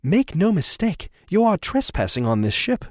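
Guy doing a snooty boss, high voice, scolding. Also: wildly swooping pitch, normal speed, no room sound, clean studio sound. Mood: haughty. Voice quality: pompous, clipped, scolding. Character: haughty British male ship owner, pompous.

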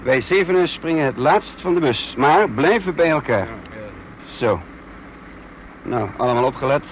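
A man acting in a radio drama as a brisk, authoritative army officer giving clipped orders. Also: a little high, expressive, muffled recording, a little hiss or hum. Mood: businesslike. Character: brisk commanding male military officer leading a raid.

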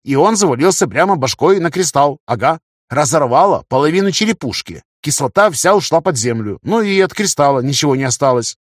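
A man's voice: a little high, expressive, a little fast, no room sound, studio quality, voice pushed up. A man voicing a chatty, boastful young fighter in an audiobook, talking in a loose colloquial way. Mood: animated bragging. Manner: colloquial, ends phrases with a verbal tic. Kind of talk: audiobook character dialogue.

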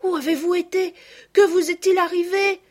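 Lady doing little girls squealing questions, super high and loud. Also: fairly even intonation, moderate pace. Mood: excited. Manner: shrill, eager questioning. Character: young girls, excited and shrill.

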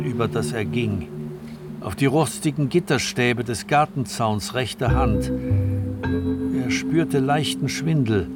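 Male radio-play narrator with music underneath. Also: medium pitch.

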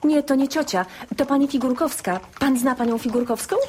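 An actress playing a naive, giggly young provincial bride-to-be in a period comedy radio play, artless and chirpy in manner. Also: female voice, high voice, a little fast, darkish tone, slight background noise, close to an everyday voice.

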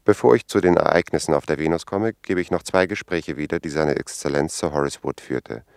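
An actor playing a guarded, deferential secret agent in a 1950s radio drama, speaking formally and with restraint. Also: male voice, rumbling low, brisk, gravelly, clean tone, dry recording. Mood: sober, reporting. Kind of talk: 1950s radio drama acting, narrated report.

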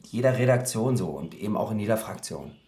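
Low-voiced, disgruntled male politician. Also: expressive, a little fast, slight background noise. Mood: grumbling, fed up. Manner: grumbling, weary. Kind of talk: naturalistic radio drama dialogue. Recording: roomy kitchen, loud clattering dishes.

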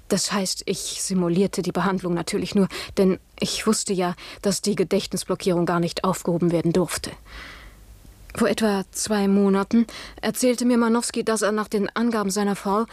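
An actress playing a composed female doctor, giving formal, measured, clinical testimony in a radio drama. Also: mid-range voice, a little mellow, dry recording, natural voice.

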